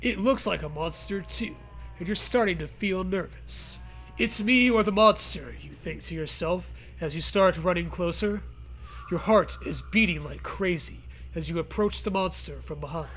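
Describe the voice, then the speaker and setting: A man's voice: high-pitched, normal speed, fairly bright tone, a little hiss or hum. A man co-hosting a comic read-aloud, reciting an absurd story in a mock-dramatic manner. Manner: mock-dramatic, swears casually. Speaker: co-host reading aloud.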